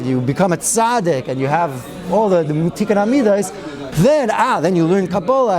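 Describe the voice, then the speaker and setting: A man with a fairly high voice teaches an informal Torah class, circling back and restarting his thoughts and dropping in wry asides. The murmur of listeners gathered in the hall is clearly audible behind him. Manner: chatty and digressive, with frequent restarts and Hebrew and Yiddish terms. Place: synagogue study hall with a group of listeners.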